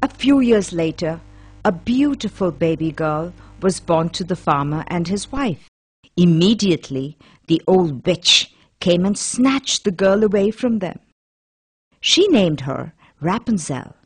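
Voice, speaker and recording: A woman's voice: on the low side, animated, a little slow, slightly rough. A woman narrating a children's fairy tale as a scripted storyteller.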